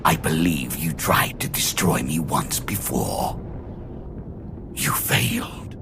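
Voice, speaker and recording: A man voicing an arrogant, mocking immortal emperor in a video game, deep and gravelly, slow and theatrical, with music behind him. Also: very animated, noisy background, full character voice. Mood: menacing. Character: immortal male Sith emperor's spirit, arrogant, mocking, grandiose. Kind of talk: dramatic video game voice acting.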